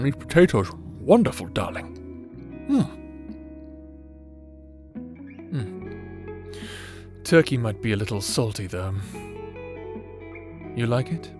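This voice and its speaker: Male actor as villainous necromancer husband, romantic ASMR roleplay, unhurried, theatrical, teasing, old-fashioned diction, faint music underneath. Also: mid-range voice. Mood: warm, domestic. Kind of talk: romantic ASMR roleplay, scripted audio drama. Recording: soft instrumental music bed behind voice.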